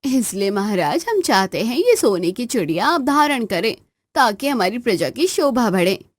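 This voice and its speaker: A woman's voice: fairly high pitch, very animated, normal speed, dry recording. A woman voicing a proud, vain queen in a moral-story cartoon, haughty and emphatic. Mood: humbled.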